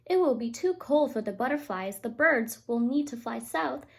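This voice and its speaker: Woman voicing an urgent, high-pitched little storybook fox. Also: lively intonation, moderate pace, vocal fry, breathy edge, exaggerated voice. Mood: urgent, worried. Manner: urgent, emphatic warnings. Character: earnest, worried young male fox in a storybook.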